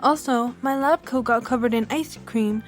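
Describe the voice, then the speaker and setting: A woman's voice: on the high side, moderate pace, soft background music, close to an everyday voice. A woman acting a polite, matter-of-fact village doctor in a fan radio play.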